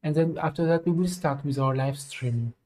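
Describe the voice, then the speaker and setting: A middle-aged man from France with a French accent, hosting a live stream in halting, filler-filled English. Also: on the high side, warm tone. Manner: halting, many fillers, non-native English phrasing. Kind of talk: casual spoken introduction.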